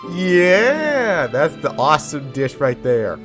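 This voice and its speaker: A man's voice: a little high, sing-song, slow pace. A man giving casual gameplay commentary, reacting to the story with exclamations. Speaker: gamer playing through story quest. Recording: loud game music behind. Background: game music.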